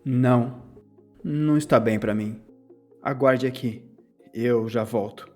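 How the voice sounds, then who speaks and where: A man's voice: mid-range voice, a little slow, darkish tone. A man playing a tabletop roleplaying game, speaking casually as player and character. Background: background music.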